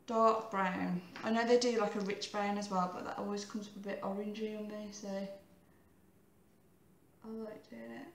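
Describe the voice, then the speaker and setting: A woman in her thirties with a croaky voice, giving a chatty, rambling makeup tutorial and often correcting herself. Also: mid-range voice, steady tone, moderate pace, roomy sound, a little hiss or hum. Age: 30s.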